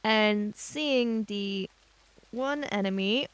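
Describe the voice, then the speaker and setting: Mid-pitched woman playing a tabletop game. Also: drawn-out words, clean tone, no room sound, rough recording. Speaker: player in a tabletop roleplaying game podcast. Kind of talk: casual table talk and improvised character narration.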